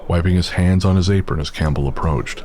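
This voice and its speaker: A man's voice: low-pitched, brisk, a little mellow. A man narrating a scripted dramatic story, with music behind him.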